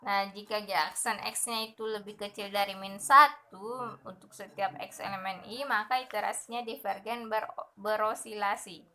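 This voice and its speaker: Bright-voiced young woman teaching math. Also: mid-range voice, moderate pace. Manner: steady explaining with frequent "nah" and fillers. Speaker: math lecturer. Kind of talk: explaining slides in a recorded lesson.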